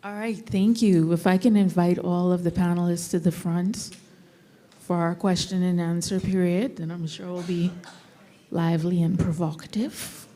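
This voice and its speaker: A woman's voice: on the low side, steady tone, normal speed, mellow. A woman moderating a panel, formally inviting panelists up for questions. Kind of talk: hosting a question and answer period. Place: university lecture hall. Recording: distant from the microphone.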